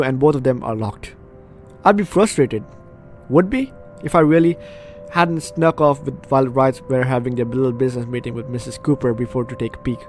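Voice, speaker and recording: Male gaming host, middle-range voice, reads story text aloud, trips on lines, breaks off for asides, faint music underneath. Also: medium pitch. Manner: stumbles over read lines, self-interrupting asides. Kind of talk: let's play commentary, reading game text aloud.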